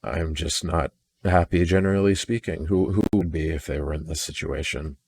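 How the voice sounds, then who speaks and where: Very low-voiced man, weary and halting. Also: fairly even intonation, darkish tone. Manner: halting, repeats words and restarts sentences. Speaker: audio diarist. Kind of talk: personal audio log, unscripted monologue.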